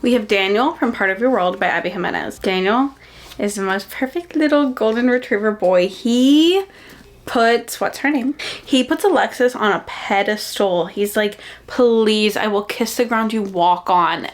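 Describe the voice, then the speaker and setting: A young adult woman chatting casually about books, with an animated, gushing delivery and dramatic emphasis. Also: medium pitch, normal speed. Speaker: book reviewer and vlogger. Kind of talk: casual chatty book recommendation vlog.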